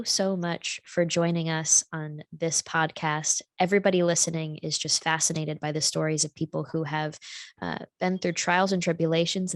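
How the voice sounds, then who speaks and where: A woman's voice: deep voice, dry recording. An American woman hosting a podcast, reading an introduction and then chatting with her guest in an upbeat way. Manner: upbeat, reads lists of names then chats. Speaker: podcast host.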